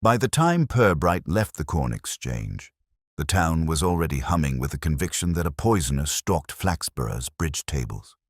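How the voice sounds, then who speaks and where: A man gives a measured, wry reading of a detective story. His voice is extremely deep, yet his pitch moves expressively through the lines. The recording is dry and close, with almost no room sound. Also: very animated, dry recording. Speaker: narrator. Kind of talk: scripted mystery narration.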